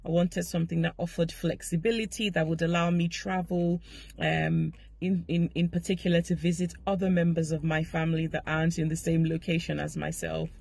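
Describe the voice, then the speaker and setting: Gravelly-voiced woman, measured testimonial. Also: on the low side, flat delivery, darkish tone. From Nigeria. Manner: measured, hesitant with fillers. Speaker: healthcare professional. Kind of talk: personal testimonial.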